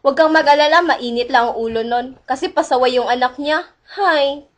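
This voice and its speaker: A woman's voice: high-pitched, moderate pace, natural voice. A young woman playing a chatty, gossipy young coworker in an amateur radio drama. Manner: breezy, reassuring, gossipy.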